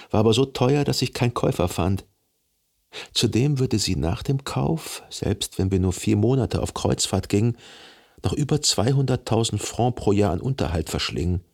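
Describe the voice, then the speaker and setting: An actor playing a brooding, obsessive jilted lover who narrates his thoughts in an introspective, deliberate way in a literary radio drama. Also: fairly low pitch, vocal fry, husky, heavily acted. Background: music.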